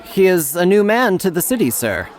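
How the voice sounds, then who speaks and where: A man's voice: high-pitched, very animated, moderate pace. An actor playing an anxious, deceitful steward in an audiobook, cool and tensely deferential to his master. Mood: guarded, anxious. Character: anxious, icy, deceitful male steward serving a lord. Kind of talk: audiobook character acting.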